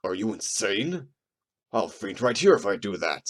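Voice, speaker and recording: A man playing a gruff, boastful wolf witness with a sneering, blunt manner in an audio drama. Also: lowish voice, very animated, moderate pace. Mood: grudging. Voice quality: gravelly. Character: gruff, hot-tempered, boastful, insulting male wolf witness. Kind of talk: amateur audio drama acting.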